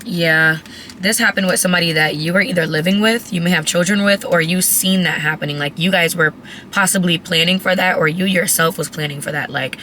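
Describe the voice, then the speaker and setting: Hoarse, raspy woman reading tarot. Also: lowish voice, steady tone, fairly quick, pushed and bright, a little hiss or hum. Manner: chatty, blunt, swears casually. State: hoarse, voice cracking. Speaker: tarot reader. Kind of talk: casual tarot reading to camera.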